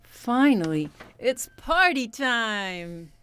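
A woman's voice: a little high, sing-song, very slow, fairly bright tone, clear, resonant tone, no echo, heavily acted. A woman playing a touchy, exasperated empath counselor in a comedic radio play, peevish and sarcastic. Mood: wry. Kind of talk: comedic radio theatre parody acting.

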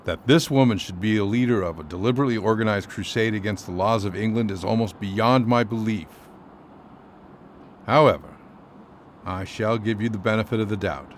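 An actor playing a shrewd, skeptical London solicitor in a radio drama, speaking in a measured, clipped way. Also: male voice, on the low side, slight background noise, natural voice. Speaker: lawyer.

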